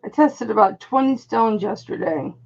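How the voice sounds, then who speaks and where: Hoarse, gravelly woman vlogger. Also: fairly high pitch, normal speed, muffled recording. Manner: chatty, exclaims when frustrated. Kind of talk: casual chat while testing stones.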